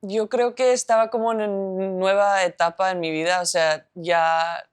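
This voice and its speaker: A woman's voice: medium pitch, fairly even intonation, a little slow, clear, resonant tone. A woman in her twenties from the United States answering interview questions reflectively, often hedging her words. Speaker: professional footballer.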